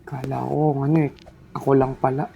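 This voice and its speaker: Gravelly Filipino gamer, joking banter. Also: medium pitch, little pitch movement, a little fast, phone-quality sound, noisy background. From Philippines. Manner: joking, teasing banter in mixed Tagalog and English. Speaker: gamer playing a horror game. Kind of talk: casual game commentary.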